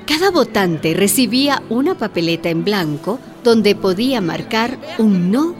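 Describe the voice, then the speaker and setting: A woman's voice: medium pitch, very animated, a little slow. Woman narrating a story over music.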